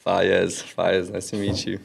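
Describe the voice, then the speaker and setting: A man in his thirties with a low, gravelly voice, talking in a relaxed, easygoing way as a podcast guest. He is from Lebanon and Florida, and he often falls back on a quick "yeah, yeah" as he speaks. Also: slight background noise. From born in Lebanon, raised in Florida. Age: 30s. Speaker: guest, emergency doctor. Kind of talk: casual podcast chat.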